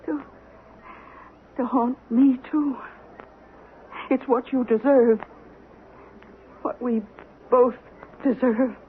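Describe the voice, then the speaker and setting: An actress playing a bitter, long-suffering middle-aged wife, reproachful and haunted, in old-time radio drama style. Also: female voice, high voice, steady tone, very slow, pauses often. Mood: shocked, haunted. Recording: muffled, hissy vintage radio recording.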